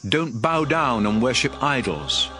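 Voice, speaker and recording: A man's voice: mid-range voice, expressive, measured pace, music in the background, lightly acted. An actor playing a solemn, commanding male prophet who addresses his people with emphatic oratory in a dramatized audio Bible.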